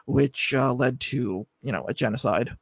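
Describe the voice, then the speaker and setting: A man argues his side in an online debate over a video call. He talks at a middling pitch and often stalls on fillers before he pushes a point home. The sound is dry, with no room around him. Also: normal speed, breathy edge, dry recording. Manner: hesitant, many fillers, builds into pointed argument. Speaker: debate guest.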